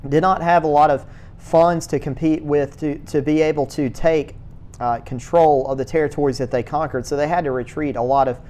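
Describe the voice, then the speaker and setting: A man giving a church history lecture to a class, speaking in a halting way, restarting words and filling pauses with uh. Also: a little high, steady tone, darkish tone, slight background noise. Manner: halting, repeats words, many uh fillers.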